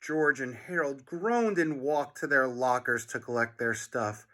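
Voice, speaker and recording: A man reading a children's book aloud in an expressive, dramatic narration, with asides to young listeners. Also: mid-range voice, measured pace.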